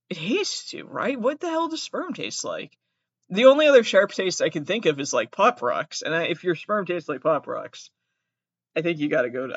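A man's voice: on the high side, a bit bright, dry recording. A man with a Massachusetts accent hosting a podcast alone, reading trivia aloud with rambling, self-interrupting jokey asides. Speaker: podcast host. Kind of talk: solo comedic trivia reading. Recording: dull, dry close-mic sound.

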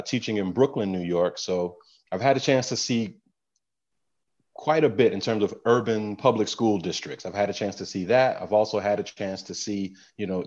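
A man who is a guest teacher on a podcast, explaining his experience in a measured way. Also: fairly low pitch, a little mellow. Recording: dull lo-fi sound.

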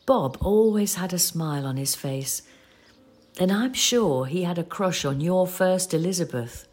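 Low, husky, patient companion. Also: female voice, lively intonation. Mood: gently prompting. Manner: gentle, measured, explanatory. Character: patient, sorrowful female companion of a forgetful old man. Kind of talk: audio play acting.

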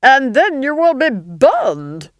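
A woman voicing an imperious, menacing Duchess with a hissing rasp and drawn-out threats, in a fantasy audiobook. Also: high-pitched, wildly swooping pitch, dry recording, voice pushed up, heavily acted. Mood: menacing, commanding. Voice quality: hissing, rasping. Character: menacing, imperious big red-faced villainous Duchess.

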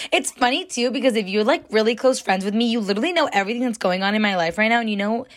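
A young woman with a raspy, somewhat deep voice hosting her own podcast, talking loudly and rambling with lots of fillers. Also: fast talker. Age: young adult. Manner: chatty, loud, rambling with many fillers. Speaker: podcast host. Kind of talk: casual solo podcast talk.

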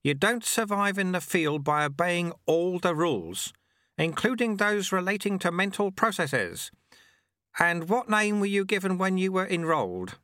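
A man voicing a cheerful, amiable little old monk sweeper in an audiobook, wryly modest, with a breezy, gently teasing manner. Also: high-pitched, very animated, normal speed, dry recording, studio quality, pitched above the performer's own voice, heavily acted. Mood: amiable, amused.

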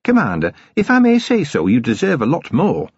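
A man's voice: on the high side, wildly swooping pitch, a little fast, darkish tone, husky, no echo, lo-fi sound, pitched above the performer's own voice, full character voice. A man voicing a cheerful, deferential female dwarf police sergeant, polite and eager, in an audiobook. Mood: sympathetic.

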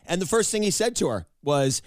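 Chatty man with a raspy cold voice. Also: high-pitched, big pitch swings, fairly quick, a little mellow, dry recording, clean studio sound. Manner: chatty, self-deprecating, laughs often. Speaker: host. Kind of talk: casual interview and narration.

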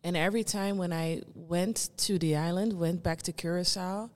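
A young woman from the Netherlands, a guest performing artist, speaking reflectively and searching for her words with many pauses. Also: lowish voice, steady tone, a little mellow, long reverb tail. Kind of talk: reflective conversation.

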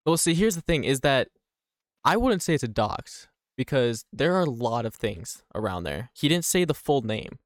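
Young male podcast co-host: stuttery, laughing, very lively swings in pitch, dry close sound. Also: moderate pace, dry recording. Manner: stutters often, laughs a lot. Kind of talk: casual chat.

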